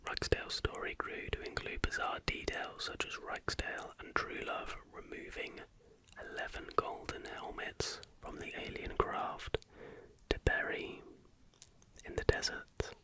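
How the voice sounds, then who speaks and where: A man narrating in an unvoiced, breathy whisper as the softly spoken host of an ASMR channel. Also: measured pace, low-quality recording.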